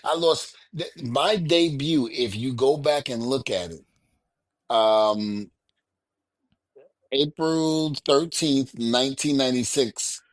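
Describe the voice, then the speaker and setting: A man co-hosting a casual talk show, telling stories with animated energy and laughing often. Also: medium pitch, slow pace, dry recording. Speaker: podcast co-host. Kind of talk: casual chat.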